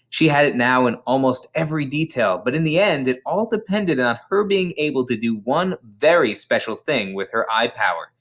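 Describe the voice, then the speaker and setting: A man reads a children's story aloud as a storyteller. His pitch is very lively and moves widely, giving the narration an expressive, dramatic shape. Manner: dramatic, animated storyteller delivery. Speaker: storybook reader.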